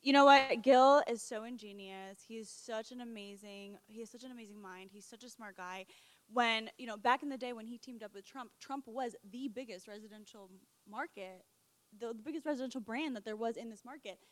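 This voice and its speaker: Woman, young adult, from colombia, real estate broker, rapid eager sales talk, higher voice. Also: dry recording. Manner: enthusiastic, salesy. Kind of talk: interview guest, sales pitch.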